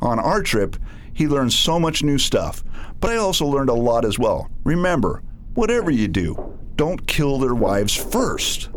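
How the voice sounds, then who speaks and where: An actor playing a ruthless, arrogant scientist villain in a space opera radio drama, scornful and domineering in manner. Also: male voice, medium pitch, sing-song, unhurried, a little hiss or hum.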